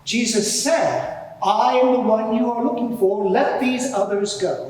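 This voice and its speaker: A man playing an indignant, self-righteous high priest giving pompous, deliberate testimony in a dramatised courtroom inquiry. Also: a little mellow, slight background noise. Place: large courtroom before a live audience. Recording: very echoey hall, distant mic.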